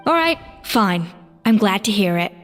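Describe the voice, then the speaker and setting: Earnest young game heroine, reverberant. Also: female voice, medium pitch, big pitch swings, a little slow, a bit bright, faint music behind. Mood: resolute, composed. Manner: dramatic, earnest, firm, sighing. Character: earnest, proud young women of a cooking contest team. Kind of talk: video game voice acting.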